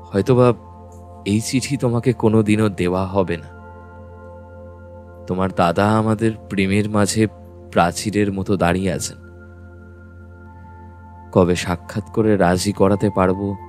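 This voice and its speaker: Man as slow, low-voiced lovelorn letter writer. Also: fairly even intonation, a little mellow. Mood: resigned. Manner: poetic, wistful, deliberate. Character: lovelorn man voicing his unsent love letter. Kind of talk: dramatic reading of a love letter in audio story. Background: music.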